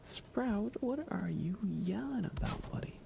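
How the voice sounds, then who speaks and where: A soft-spoken man doing casual ASMR game commentary on a live stream, often slipping into whispers. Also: sits high, expressive, measured pace. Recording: clear background noise.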